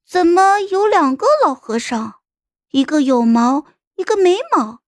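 Woman as a cheeky child, high voice, lively swings, blunt and mocking, audio drama, dry close sound. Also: unhurried, dry recording. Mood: cheeky puzzlement. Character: impudent young child of a general, blunt and cheeky.

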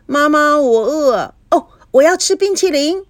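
Woman acting a high, pouty little girl. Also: lively intonation, moderate pace, no echo, clean studio sound. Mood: petulant protest. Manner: whining, loud, petulant. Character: little girl, pouty, demanding, outspoken daughter.

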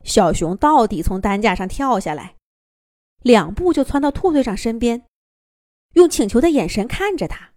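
Lively woman telling a children's story. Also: a little high, moderate pace, no room sound, clean studio sound. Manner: expressive, lilting narration.

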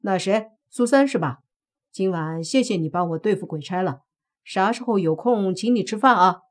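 A woman's voice: mid-range voice, lively intonation, moderate pace, close to an everyday voice. A woman voicing a cheeky young man who speaks with light sarcasm. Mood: mocking.

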